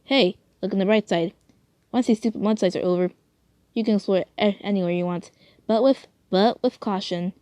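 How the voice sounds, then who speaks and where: A woman's voice: mid-range voice, normal speed, mellow, dry recording, close to an everyday voice. A woman playing a protective but hot-tempered brother in a fan story, blunt and scolding in manner. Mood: reassuring.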